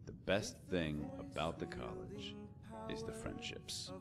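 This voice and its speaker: A man playing a genial, reflective bard and poet in a fantasy game, with a deep baritone and an easygoing, musing manner. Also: huge pitch swings, takes it slowly, creaky, over music, heavily acted. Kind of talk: scripted fantasy game dialogue.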